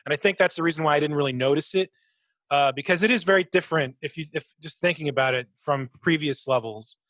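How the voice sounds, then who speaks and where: A man's voice: a little high, fast, a bit bright, dry recording. A man co-hosting a podcast, casually analysing a video game and often searching for his words. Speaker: podcast co-host.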